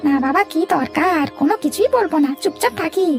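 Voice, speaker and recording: A woman's voice: extremely high pitch, animated, a little fast, music in the background. A woman voicing a nervous, secretive young child in a cartoon, timid and sneaky. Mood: anxious. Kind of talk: Bengali moral-story cartoon dubbing.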